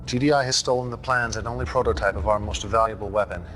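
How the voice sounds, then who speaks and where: A calm and menacing cult leader acted by a man with a low voice, set over music. He gives orders in a measured, commanding manner with sly condescension, in the style of a video game cutscene. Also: lightly acted. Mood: cold, commanding.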